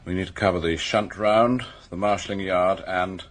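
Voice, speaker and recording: An actor playing a cautious, practical police officer in a radio drama, husky and clipped as he talks through operations. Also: sits low, fairly even intonation, normal speed, a little hiss or hum, close to an everyday voice. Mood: businesslike. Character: businesslike, cautious male police officer giving operational details. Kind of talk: naturalistic radio drama dialogue.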